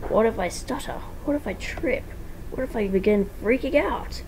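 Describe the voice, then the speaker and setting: A woman playing an eager, fretful cartoon fox, raised pitch. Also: normal speed, creaky, a little mellow, a little hiss or hum, low-quality recording. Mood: nervous. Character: Foxy, boisterous, nervous male animatronic pirate fox.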